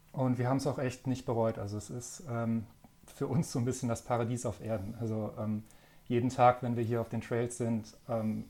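A man in his thirties from northern Germany, a magazine editor talking as a guest in relaxed, friendly chat with frequent fillers. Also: medium pitch. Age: 30s. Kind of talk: casual interview chat.

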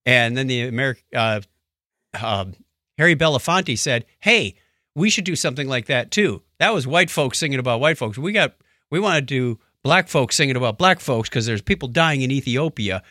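A man hosting a podcast in casual chat, telling stories in an animated way and acting out what others said. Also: medium pitch, moderate pace, dry recording, studio quality. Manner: animated storytelling, acts out quotes. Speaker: podcast host.

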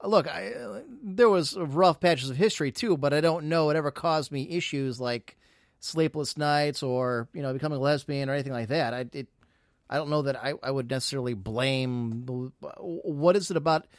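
A man's voice: on the high side, moderate pace, no echo, clean studio sound. A man hosting a live podcast, chatting casually, rambling and teasing with mock boasts. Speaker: podcast host.

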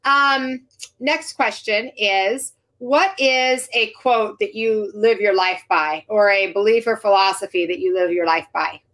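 A woman's voice: mid-range voice, unhurried, fairly bright tone. A woman in her forties hosting a casual interview, friendly and rambling as she tosses out questions. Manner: friendly, rambling, lots of fillers. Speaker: podcast host.

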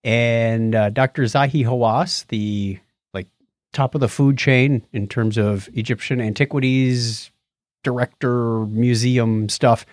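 A man's voice: medium pitch, fairly even intonation, slow pace, no echo, clean studio sound. A man chatting casually and enthusiastically as a podcast co-host. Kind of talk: casual chat.

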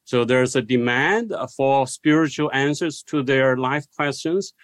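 A man's voice: medium pitch, measured pace, dry recording. Dry-sounding man in his sixties from China. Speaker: guest scholar of religion. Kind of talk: interview answers.

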